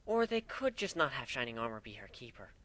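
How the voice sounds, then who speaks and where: A man's voice: medium pitch, very animated, a little fast, fairly bright tone, no echo. A man voicing a sly, playful female changeling wife in a fan-fiction reading. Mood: explaining, sly. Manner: sly, matter-of-fact.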